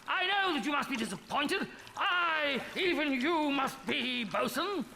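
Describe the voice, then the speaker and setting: A bumbling aristocratic captain rallies his crew in clipped, pompous tones, acted by a man. The voice sits high with a gravelly edge and swings lively. A clear hiss sits under the live broadcast. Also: unhurried, full character voice, low-quality recording. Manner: pompous, clipped upper-class bluster. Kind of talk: live radio comedy.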